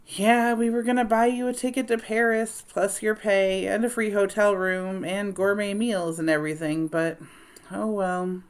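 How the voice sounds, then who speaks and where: A woman voicing an excitable, pleading young boy in a storybook read-aloud. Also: mid-range voice, normal speed, voice pushed up, close to an everyday voice. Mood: sad, coaxing.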